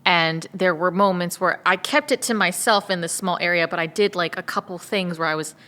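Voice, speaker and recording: A woman voice actor chatting casually about her craft in a giggly, animated way. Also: fairly low pitch, a little fast. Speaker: voice actor with theater background. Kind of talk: casual chat about acting process.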